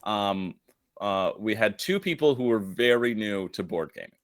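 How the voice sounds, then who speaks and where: A man's voice: lowish voice, moderate pace, dry recording. A man chatting casually on a board game stream, telling stories animatedly and acting out what his friends said. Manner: animated storytelling, acts out quoted friends, laughs often. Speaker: board game content creator, guest co-host. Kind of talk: casual live-stream chat.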